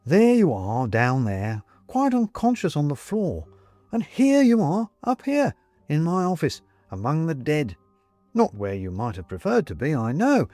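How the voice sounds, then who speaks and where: A man voicing a jovial, persuasive ghost gentleman in an audiobook, with a British accent and clipped, chummy, old-fashioned upper-class phrasing. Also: on the high side, wildly swooping pitch, a little slow, no echo, soft background music, pitched above the performer's own voice. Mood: earnest, persuasive. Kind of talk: audiobook character acting.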